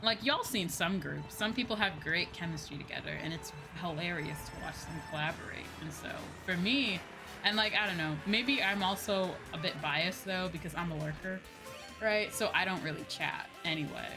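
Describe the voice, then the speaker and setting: A woman's voice: fairly low pitch, moderate pace, rough recording. A woman livestreamer chatting casually while gaming, rambling with asides and swearing freely in an informal way. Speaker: livestreamer, VTuber host. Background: music behind the voice.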